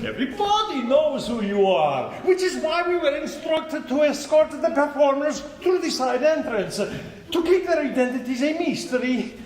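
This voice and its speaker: A man's voice: very high voice, sing-song, moderate pace, darkish tone. A man acting a formal, officious servant in a theatrical period stage comedy. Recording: echoey theatre stage recording.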